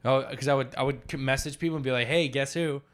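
A man's voice: medium pitch, steady tone, quick pace. A man co-hosting a comedy podcast in casual, profane, argumentative banter. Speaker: podcast co-host.

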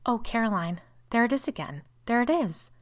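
A woman's voice: medium pitch, a little fast, lightly acted. Gothic storybook role: woman reader as frantic, stubborn sister in terror, wailing, emphatic.

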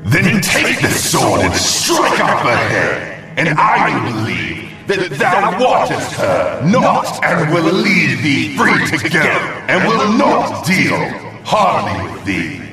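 A cruel, jealous demon booms out archaic threats in an audio drama. The delivery is very slow and swings widely in pitch, drenched in cavernous echo. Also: male voice, wildly swooping pitch, exaggerated voice. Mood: raging. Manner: slow, booming, theatrical threats. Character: vengeful, menacing male Ifrit demon, jealous and cruel. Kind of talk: audio drama acting of archaic storybook prose. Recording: cavernous echo, distant, music behind.

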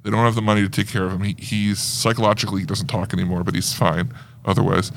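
A man's voice: deep voice, flat delivery, quick pace, gravelly. A man co-hosting a podcast, talking casually in a movie review discussion. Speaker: podcast co-host.

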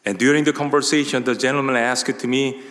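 A man in his fifties from South Korea with a Korean accent, preaching a relaxed, conversational sermon with many filler words. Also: mid-range voice, brisk. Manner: relaxed, conversational, frequent uh and you know fillers. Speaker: pastor. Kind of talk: sermon with personal storytelling. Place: large church sanctuary at a pulpit. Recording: long hall reverb.

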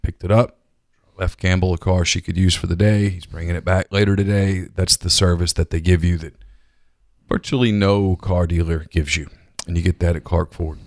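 A man's voice: sits low, fairly even intonation, normal speed, a little mellow, no echo. A man co-hosting a podcast in a studio, chatty and rambling through ads and small talk. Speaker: podcast co-host. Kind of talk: casual sports talk and live ad reads. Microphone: studio microphone.

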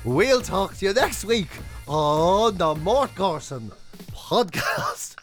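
A man's voice: high voice, very animated, slow pace, soft background music. A man with an Irish accent co-hosting a radio show, bantering casually with blunt, sweary, self-mocking humour.

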